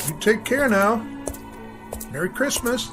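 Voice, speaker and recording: A man's voice: high voice, animated, measured pace, over music. A man acting in a radio drama as a friendly, plain-spoken school groundskeeper with a casual, folksy manner. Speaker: actor.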